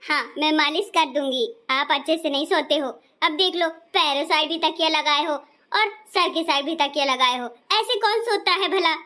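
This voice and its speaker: A woman narrating a family cartoon story in a plain, even tone and also voicing the mother. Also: sits high, moderate pace, few pauses. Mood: chiding. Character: older Indian mother, gentle and doting.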